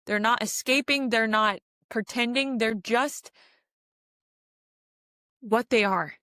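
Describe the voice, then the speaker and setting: A woman's voice: fairly high pitch, takes it slowly, fairly bright tone, dry recording. Woman podcaster, earnest and dry-sounding. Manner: earnest, exhortative, repeats phrases for emphasis. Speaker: podcast host. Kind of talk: spiritual self-help podcast monologue.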